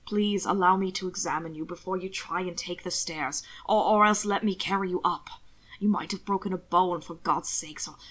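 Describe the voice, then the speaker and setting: A woman reading the part of a worried, commanding male aristocrat in a lowered, measured voice. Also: fairly even intonation, creaky, a bit bright. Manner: low, measured, stern.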